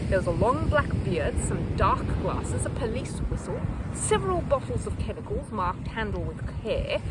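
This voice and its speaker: A woman reading a children's story aloud in an expressive narrating style. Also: fairly high pitch, very noisy. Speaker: storybook reader.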